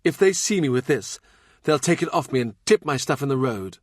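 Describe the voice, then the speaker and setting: A man voicing a hot-tempered, haughty young noblewoman in an audiobook, with sharp, emphatic delivery. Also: mid-range voice, lively intonation, a little fast, dry recording, clean studio sound, pitched above the performer's own voice, close to an everyday voice. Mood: frantic, angry.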